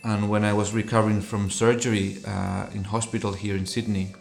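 Deep gravelly-voiced Chilean-born academic man. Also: level tone, soft background music. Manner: thoughtful, halting, restarts phrases. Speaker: associate professor, anthropologist. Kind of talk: interview answers.

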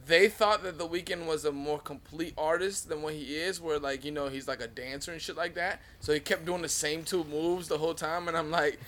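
A man's voice: on the high side, steady tone, brisk, hardly any pauses, fairly bright tone. A young man in his twenties co-hosting a podcast, chatting loosely with profanity and frequent laughter. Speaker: podcast co-host. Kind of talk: casual chat.

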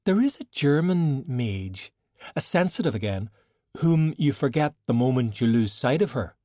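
A man's voice: medium pitch, lively intonation, normal speed, mellow, no room sound, studio quality, pitched above the performer's own voice, lightly acted. A sly, self-regarding woman mage performed by a male audiobook reader. The voice sits raised and moves theatrically, with an arch, drawling poise. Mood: poised, probing.

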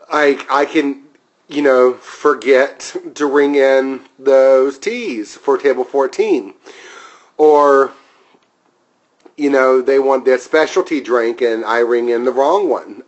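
A man hosting a podcast in profane casual chat, with a loud, powerful voice and crude, swearing humor. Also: medium pitch, muffled recording. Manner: crude, swearing, laughs at own stories. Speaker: podcast host.

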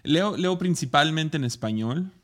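A man who preaches, talking casually in conversation and often giving soft affirmations. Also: on the high side, animated, unhurried. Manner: attentive listener, frequent soft affirmations.

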